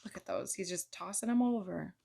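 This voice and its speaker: A woman reading a picture book aloud to children in an expressive way, pausing to ask them simple questions. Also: lowish voice, creaky, husky. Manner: expressive, child-directed delivery. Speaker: children's storytime reader, mother.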